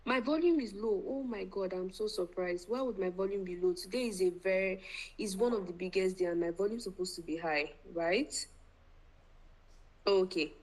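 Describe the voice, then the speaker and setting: A woman's voice: medium pitch, moderate pace, a little mellow, poor audio. A woman with a Nigerian accent hosting a live online event in an effusive, playful and grandiose manner. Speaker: event host.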